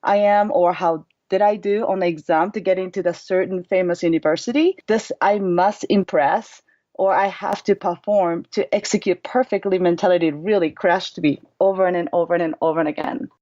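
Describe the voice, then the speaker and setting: A woman's voice: on the low side, fairly even intonation, normal speed, mellow, no room sound. A woman in her thirties with a Japanese accent, answering interview questions in an earnest, reflective way. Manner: earnest, reflective, plain phrasing. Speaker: guest, mindset coach. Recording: slightly dull, dry audio.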